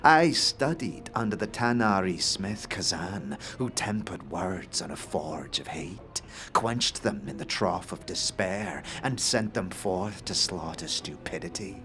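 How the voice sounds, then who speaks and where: A man voicing a wild-eyed, eccentric, middle-aged inventor in a fantasy audio drama, speaking grandly and cryptically with theatrical pauses. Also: deep voice, lively intonation. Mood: boastful, intense.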